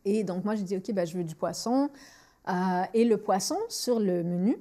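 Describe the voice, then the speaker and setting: A woman lively Montreal podcast host. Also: medium pitch, moderate pace. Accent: Montreal French. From Montreal, Canada. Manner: lively, switches freely between French and English, repeats words when thinking. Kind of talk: candid conversational storytelling.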